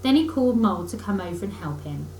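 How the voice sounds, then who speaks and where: Animated woman reading a children's story. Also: medium pitch, fast talker. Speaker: teacher reading aloud. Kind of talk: expressive children's story narration. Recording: roomy echo, faint background noise.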